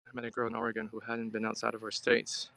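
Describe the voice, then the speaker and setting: A man's voice: lowish voice, monotone, fast, creaky, sharp tone. A man from Hong Kong live-streaming in a casual, musing, conversational way, reading comments aloud and replying to viewers. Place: outdoors at a riverside.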